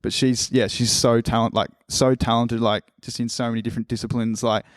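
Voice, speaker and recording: A man's voice: mid-range voice, little pitch movement, fairly quick, dry recording, clean studio sound. Young man, casual rambling host. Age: 20s. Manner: rambling, swears casually, lots of fillers. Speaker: podcast host. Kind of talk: casual interview chat.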